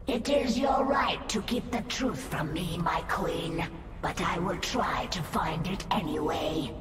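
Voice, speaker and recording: A low, proud female alien who reasons in stiff, formal phrases. The voice reverberates from a distance under loud music in a game cutscene. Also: slow. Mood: formal probing. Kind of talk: sci-fi video game acting.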